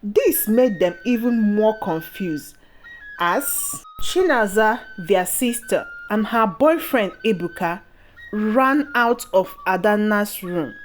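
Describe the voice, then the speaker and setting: Slow, lively woman telling a folktale. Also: medium pitch. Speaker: storyteller. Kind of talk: scripted folktale narration. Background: background music.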